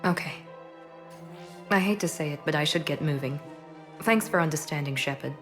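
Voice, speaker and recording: A woman's voice: low-pitched, normal speed, soft background music, natural voice. A woman playing a proud, guarded secret operative in a video game, speaking in a serious, controlled and earnest way. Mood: earnest, composed. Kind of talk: cinematic video game acting.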